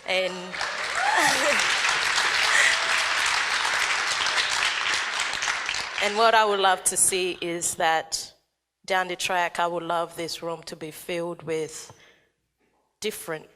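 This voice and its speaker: A young woman from Australia speaking earnestly on a conference panel, pausing often with fillers. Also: fairly low pitch, sing-song, sharp tone, a faint crowd, a little hiss or hum. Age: young adult. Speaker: youth panelist. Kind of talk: panel remarks. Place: large conference hall. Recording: hall reverb.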